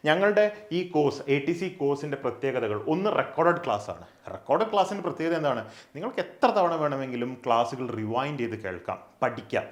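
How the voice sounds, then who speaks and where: A young man teaching an exam prep lesson. He asks questions and answers them himself, and he keeps adding quick tag questions. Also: medium pitch, lively intonation. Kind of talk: explainer lesson on an exam, mixing English terms. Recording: echoey room.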